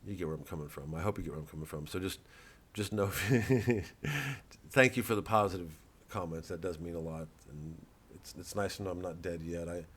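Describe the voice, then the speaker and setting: Man, comedian, rambling talk to camera, stammering restarts, swears, lowish voice. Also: normal speed, fairly bright tone, husky.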